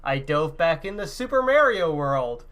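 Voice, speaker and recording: A man's voice: fairly high pitch, big pitch swings, unhurried, fairly bright tone. A man co-hosting a gaming podcast in casual, now and then profane chat.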